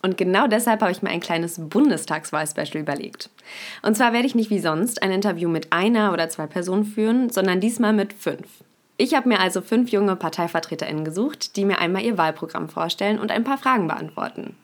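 This is a young woman hosting a podcast. She reads a prepared intro in an explanatory tone and speaks straight to her listeners. Her voice sits at a middling pitch. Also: fairly quick. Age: young adult. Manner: explanatory, addresses listeners directly. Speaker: podcast host.

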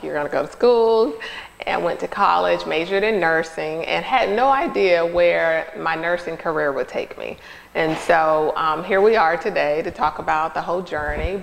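A woman's voice: fairly low pitch, gravelly, fairly bright tone. A woman from North Carolina, a nurse and company founder, telling her story as a friendly interview guest with fillers. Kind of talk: casual interview talk. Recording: hall with long echo.

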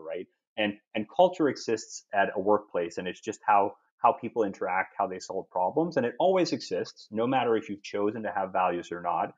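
A man's voice: lowish voice, husky, lo-fi sound. A man from Europe, a podcast guest speaking thoughtfully, often restarting and repeating words as he thinks. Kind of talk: podcast conversation.

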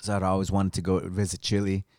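A man's voice: low-pitched, completely flat delivery, fast talker, a little mellow, no room sound, studio quality. A man hosting a casual interview, enthusiastic, restarting his questions with lots of fillers. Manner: enthusiastic, repeats himself, many fillers. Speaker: interview host.

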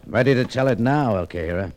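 An actor playing a tough, wry American adventurer in an old-time radio detective drama, speaking in clipped hard-boiled lines. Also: male voice, medium pitch, expressive, quick pace, darkish tone, lightly acted. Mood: defiant. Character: tough, wry, unflappable American adventurer telling his tale. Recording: vintage radio recording, faint music.

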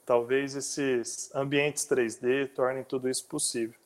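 A man hosting a casual interview, talking in a halting way with frequent restarts. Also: mid-range voice, flat delivery. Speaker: interview host.

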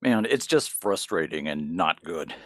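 Deep-voiced man, dry close sound. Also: expressive, a little slow, no echo. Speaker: podcast co-host. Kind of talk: casual video game chat.

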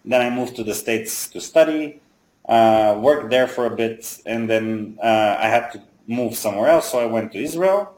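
A man in his thirties who grew up in Bulgaria, speaking at a middling pitch and explaining his ideas step by step. He pauses on fillers as he thinks. His voice bounces around a roomy, echoey space. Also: a little mellow. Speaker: guest, software company founder. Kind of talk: casual interview answers.

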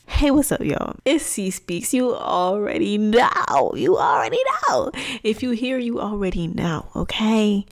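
Young woman podcaster in her 20s, chatty and animated, slangy, mid pitch. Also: takes it slowly. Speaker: podcast host. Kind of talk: casual faith podcast talk.

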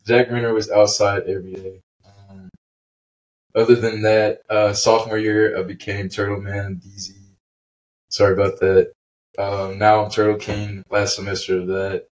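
A man's voice: lowish voice, level tone, moderate pace, darkish tone, low-quality recording. A man in his twenties from Memphis, Tennessee, chatting easily as a guest in short, plain phrases. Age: 20s. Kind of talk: casual chat.

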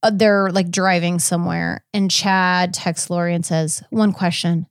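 A woman hosting a podcast in casual chat, recounting a case informally with a halting, filler-heavy delivery. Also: fairly low pitch, expressive, clean tone, no room sound, clean studio sound. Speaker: podcast host.

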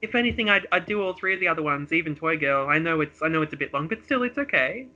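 A man's voice: on the high side, lively intonation, quick pace, sharp tone. A man reading a comedy script casually as co-host, giggly and breaking off to banter, on a lo-fi recording. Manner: giggly, breaks off to joke and improvise.

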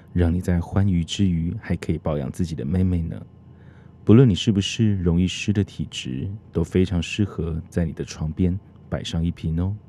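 A man's voice: sits low, mellow, a little hiss or hum. A man hosting a podcast, talking casually in the role of a teacher to his students. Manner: plays a teacher addressing listeners as students. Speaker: podcast host. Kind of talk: casual interview chat with scripted sponsor reads.

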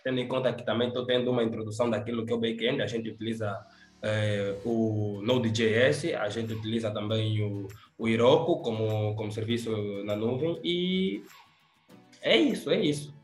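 A man's voice: fairly low pitch, normal speed. A young man with an Angolan Portuguese accent, an intern talking casually and hesitantly about his job in an interview. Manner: relaxed, hesitant with fillers. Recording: echoey room, faint music.

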